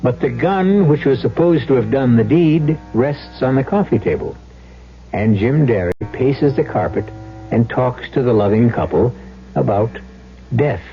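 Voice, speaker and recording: A man narrates as host of an old radio mystery, with theatrical, deliberate phrasing. His mid-pitched voice sits over clear music, and the recording is slightly dull. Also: animated. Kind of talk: dramatic scripted narration.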